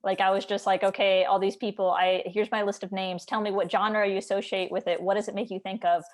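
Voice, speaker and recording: A woman's voice: mid-range voice, flat delivery, a little fast. Chatty woman in casual conversation. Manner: chatty, informal, frequent "like". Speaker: writer and discussion host. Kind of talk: casual conversational book chat.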